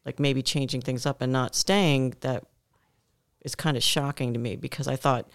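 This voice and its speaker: A woman's voice: rumbling low, steady tone, moderate pace, dry recording, studio quality. A woman on the gym staff chatting casually on a podcast, telling a story at length.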